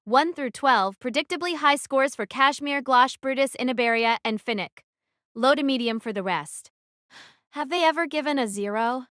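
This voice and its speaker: A woman's voice: high voice, moderate pace, a bit bright, no echo, studio quality. A woman playing a defiant, brooding teenage girl who narrates her own story in an audio drama. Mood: somber. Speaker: narrator and lead actress.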